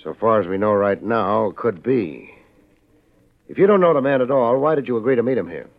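An American actor playing a wry, laid-back but tough private investigator in a 1950s radio drama. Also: male voice, mid-range voice, animated, brisk, natural voice. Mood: brisk, questioning. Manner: laid-back, wry, hard-boiled delivery. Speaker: lead actor and narrator. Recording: muffled old radio recording, music behind.

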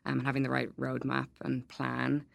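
A woman speaking as a guest on a podcast, answering in a relaxed conversational way with light laughs and frequent fillers. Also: very deep voice, moderate pace, gravelly, dry recording, studio quality. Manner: laughs lightly, many ums and kind-ofs. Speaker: events manager, podcast guest. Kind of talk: casual interview answers.

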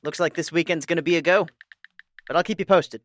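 A man's voice: a little high, fairly quick, a bit bright, close to an everyday voice. A man playing an enthusiastic, nerdy young ghost hunter in a casual audio drama scene. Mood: excited.

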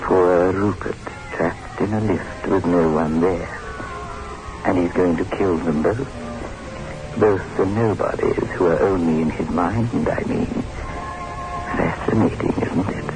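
Deep, slow, sardonic horror host. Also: male voice, rough recording. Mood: macabre amusement. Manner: wry, drawn-out, macabre relish. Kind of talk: old-time radio horror narration. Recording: 1960s radio broadcast with music.

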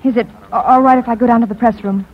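A woman's voice: on the high side, steady tone, close to an everyday voice. An actress playing a concerned, questioning woman, an editor's former wife, in a 1950s radio drama. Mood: anxious. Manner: quick, questioning. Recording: dull, faintly noisy vintage radio recording.

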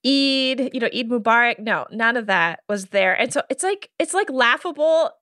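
A woman's voice: fairly high pitch, lively intonation, moderate pace, pushed and bright, dry recording, studio quality. A woman in her thirties with an American accent chatting casually and exasperatedly as a podcast guest. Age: 30s. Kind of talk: casual chat.

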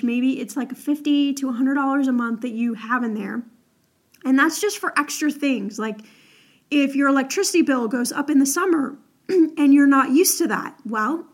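A young woman hosting an advice podcast in a chatty, upbeat way, her voice a little crackly from the early morning. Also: high-pitched. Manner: chatty, upbeat, lots of "like" and "all right?". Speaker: podcast host.

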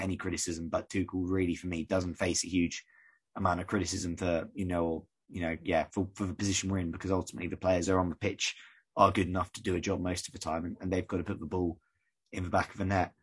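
A man from the UK hosting a casual podcast, rambling and conversational, his voice croaky. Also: low voice, little pitch movement, quick pace. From United Kingdom. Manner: rambling, conversational, many fillers. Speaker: podcast host.

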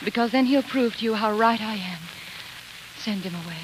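A woman's voice: fairly high pitch, normal speed, background noise, lightly acted. A woman acting a headstrong, devoted young American wife in a 1940s radio drama, with earnest, emphatic theatrical delivery. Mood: defiant. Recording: 1940s radio broadcast with music.

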